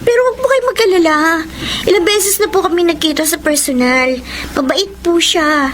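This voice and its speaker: A woman's voice: very high voice, normal speed, background noise. A woman with a Filipino accent playing a sweet, earnest, lovestruck young daughter in a radio romance drama. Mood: flustered, coy.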